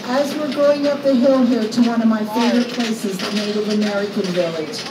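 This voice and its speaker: A woman's voice: a little high, brisk, a loud crowd. A woman working as a tour guide, narrating a ride in an upbeat, jokey way and quizzing the riders. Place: open-air tram ride outdoors. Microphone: recorder's phone, guide some distance away. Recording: distant echoey voice over loud outdoor noise.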